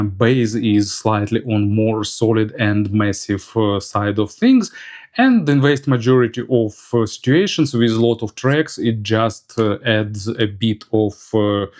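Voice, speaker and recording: A man giving a product review as an audio gear reviewer, speaking in a halting, hesitant way. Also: on the low side, a little mellow.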